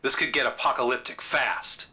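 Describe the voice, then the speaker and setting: An actor playing an urgent, commanding space-corps officer in a video game, with a forceful military manner. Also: male voice, medium pitch, steady tone, moderate pace, noisy background, natural voice. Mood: urgent, stern.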